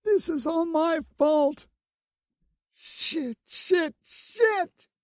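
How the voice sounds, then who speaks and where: An audiobook narrator voicing a young woman who is crying, anguished and shrill. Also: expressive, measured pace, gravelly, warm tone, husky, no room sound, clean studio sound, heavily acted. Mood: distraught. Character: young human woman, tearful, fierce.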